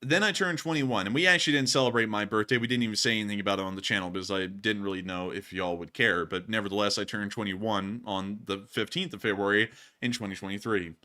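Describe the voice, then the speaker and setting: Bright-voiced young man hosting news. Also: lowish voice, fast, hardly any pauses. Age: early 20s. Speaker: news channel host. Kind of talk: live news commentary, casual chat with viewers.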